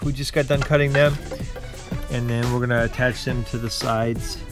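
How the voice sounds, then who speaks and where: A young man hosting a DIY video, explaining each building step in a relaxed, practical way while working outdoors on a driveway. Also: medium pitch, normal speed, over music. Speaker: DIY home improvement host. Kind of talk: casual how-to narration while working.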